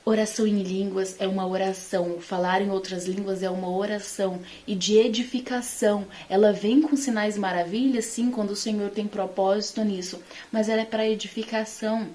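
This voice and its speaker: A woman with a Brazilian accent and a mid-pitched voice teaches scripture in an informal way. She talks conversationally to her listeners, leaning on key words for emphasis. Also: steady tone, fairly quick, few pauses, mellow. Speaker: Bible teacher. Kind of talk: informal Bible teaching talk to online followers.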